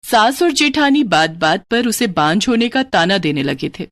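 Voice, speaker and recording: A woman narrating a moral story with a clear, even, steady storyteller's delivery. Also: mid-range voice, normal speed, no room sound.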